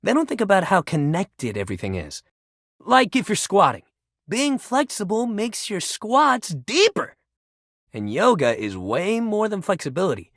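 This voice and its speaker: A man's voice: sits high, wildly swooping pitch, normal speed, a bit bright, no room sound, clean studio sound, heavily acted. An actor playing an upbeat, friendly but sensitive young male sports jock in a video game, talking in casual, emphatic bro speak. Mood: upbeat, encouraging. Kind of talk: video game character dialogue.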